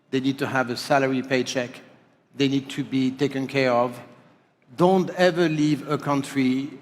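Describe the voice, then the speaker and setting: Firm male panelist, mid-pitched, reverberant stage. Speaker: panelist, hotel group executive. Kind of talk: panel discussion. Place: large conference hall stage. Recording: long hall reverb.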